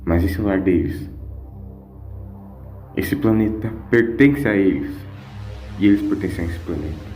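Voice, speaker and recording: A man's voice: low-pitched, normal speed, warm tone, natural voice. A man voicing a wise, moral grandfatherly time-traveller in an audio story, speaking emphatically and persuasively. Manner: emphatic, coaxing, with questioning hums. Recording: echoing voice over music.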